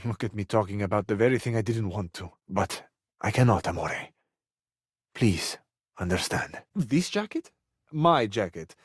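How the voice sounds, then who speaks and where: A man's voice: lowish voice, lively intonation, moderate pace, slightly rough, dry recording, studio quality. A man voicing a suave, passionate living cabinet in a video game, with an Italian accent and a florid, flirtatious, earnest way of talking. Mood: pained, pleading, then tender, romantic. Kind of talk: theatrical romantic video game voice acting.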